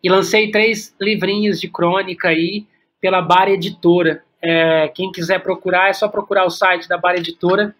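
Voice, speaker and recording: High-voiced Brazilian man, halting and conversational. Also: steady tone, fairly bright tone. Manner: halting, repeats words as he thinks. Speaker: podcast guest, playwright and workshop teacher. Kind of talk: casual conversational interview.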